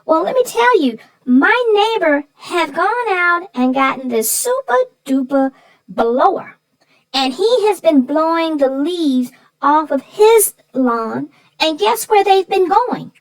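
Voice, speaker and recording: A woman voicing a sassy, feisty female co-host in chatty banter, with a folksy, emphatic, drawn-out way of telling things. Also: very high-pitched, sing-song, heavily acted, poor audio. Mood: indignant, scheming. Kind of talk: scripted chatty banter about a children's book.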